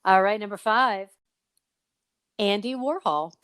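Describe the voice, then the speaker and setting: A woman's voice: mid-range voice, slow, a bit bright, clean tone, dry recording. A woman hosting a podcast in a casual, chatty and playful way, with exclamations as she runs quizzes and interviews. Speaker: podcast host.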